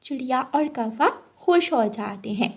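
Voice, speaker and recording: A woman's voice: high voice, lively intonation, measured pace, vocal fry, darkish tone, breathy edge. A woman narrating a scripted children's cartoon story.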